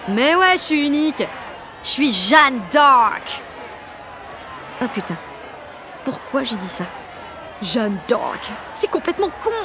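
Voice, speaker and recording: High-voiced, excitable teenage girl. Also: slow pace, pauses often, a crowd in the background, noisy background, heavily acted. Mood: flustered, keen. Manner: gushing, slangy, swearing, self-mocking. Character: excitable, self-conscious sixteen-year-old French girl. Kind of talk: audio drama, slangy first-person inner narration and dialogue.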